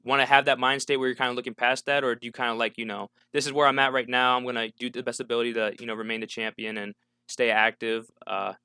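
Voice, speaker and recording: A man's voice: medium pitch, level tone, fast talker, pushed and bright, clear, resonant tone, dry recording, clean studio sound. A man co-hosting a casual interview podcast, asking long, meandering questions. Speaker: podcast co-host.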